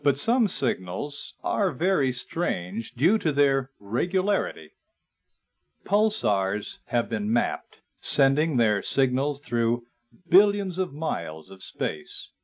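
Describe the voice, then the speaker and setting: A man narrates scripted instructional material at a slow, measured pace. His medium-pitched voice moves with lively intonation as he explains. The sound is dull and lo-fi, like a 1980s recording. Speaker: narrator and presenter.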